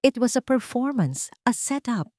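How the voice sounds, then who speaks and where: A woman's voice: fairly high pitch, very animated, unhurried, darkish tone, dry recording, clean studio sound, voice pushed up. A woman voicing an indignant businessman in an audio drama, speaking emphatically in short, clipped sentences. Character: Cantonese businessman on phone, indignant, disgusted by treachery.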